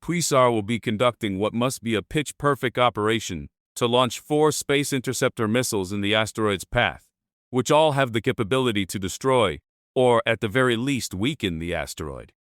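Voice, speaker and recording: A man playing a solemn government spokesman giving a formal, measured, rhetorical address to the nation in a disaster drama. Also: mid-range voice, lively intonation, fairly bright tone, no echo, studio quality, lightly acted. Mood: assured, formal. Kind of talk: formal dramatic televised speech.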